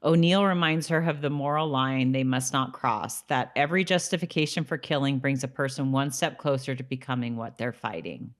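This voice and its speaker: A woman's voice: very deep voice, fairly quick, few pauses. A woman co-hosting a podcast, talking informally and sharing episode notes. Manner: informal, conversational. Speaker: podcast co-host. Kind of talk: casual chat and reading episode notes.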